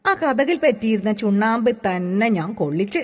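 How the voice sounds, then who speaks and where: A woman's voice: mid-range voice, lively intonation, moderate pace, close to an everyday voice. A woman reading the part of a boastful, teasing village boy who dreams of travel, in a playful storybook manner. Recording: long hall-like reverb.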